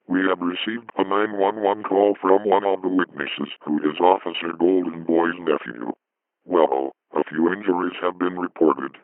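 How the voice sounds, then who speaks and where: Man acting as a police chief, low-pitched, matter-of-fact, scripted news report, tinny phone line, dry. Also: dry recording.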